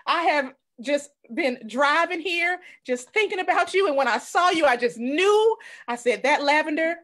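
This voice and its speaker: A woman's voice: sits high, a bit bright. A woman playing an earnest, bashful, smitten man who owns a bakery in improvised comedy. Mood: lovestruck.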